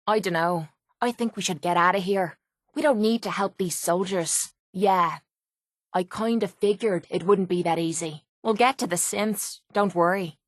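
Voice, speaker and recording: A woman's voice: mid-range voice, no room sound, natural voice. Actress as brash young Irish woman, game brawler, foul-mouthed, sardonic, blunt, defiant, very dry close recording. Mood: impatient, mocking, then wry, bitter. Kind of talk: video game character dialogue.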